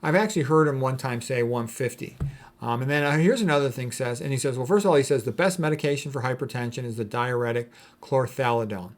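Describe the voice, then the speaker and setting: A man's voice: medium pitch, fast talker. A man talking casually and off the cuff as he comments on quotes, in a blunt, opinionated way. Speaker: medical commentator presenting quotes.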